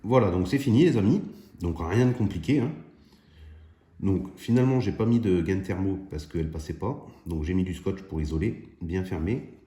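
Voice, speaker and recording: A man's voice: sits low, moderate pace, mellow, slightly rough. A man hosting a hobby DIY video, chatting informally and thinking aloud as he demonstrates by hand. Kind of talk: casual hands-on demonstration.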